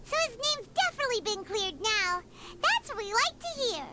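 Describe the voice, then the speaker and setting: A woman voicing a small, chirpy, childlike fairy companion with a squeaky, bubbly delivery in an anime-style video game. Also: sing-song, moderate pace, heavy background noise. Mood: curious. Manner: bubbly, speaks of herself by name. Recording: loud music over the voice. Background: playful game music.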